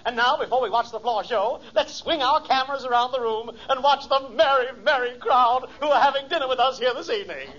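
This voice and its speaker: An actor playing a cheery television show announcer in an old radio sitcom, speaking in a formal, showy manner. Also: male voice, very high-pitched, a little fast, few pauses, a bit bright. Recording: muffled vintage radio recording.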